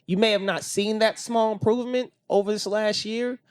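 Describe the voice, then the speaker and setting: A man's voice: high-pitched, steady tone, normal speed, clear, resonant tone, no echo, studio quality. A man from Oakland, hosting a casual conversational interview in an emphatic, slangy, encouraging way. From Oakland, California. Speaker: podcast host.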